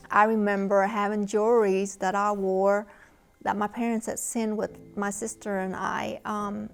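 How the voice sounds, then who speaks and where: A woman in her fifties with a Vietnamese accent, telling her own story in a measured, reflective way for a documentary interview. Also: mid-range voice. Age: 50s. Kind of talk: personal testimony in a documentary interview.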